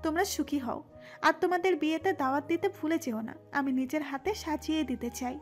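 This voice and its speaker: A woman's voice: high-pitched, a little fast, faint music behind, close to an everyday voice. A woman playing a heartbroken, polite young woman who sadly resigns herself in a romantic audio story. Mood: sad, resigned. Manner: sad, self-sacrificing.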